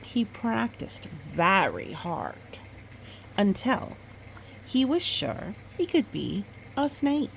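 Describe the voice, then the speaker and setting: A woman reading a picture book aloud in storytime style, with expressive, drawn-out dramatic phrasing and chatty asides. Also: medium pitch, creaky, a little hiss or hum, poor audio.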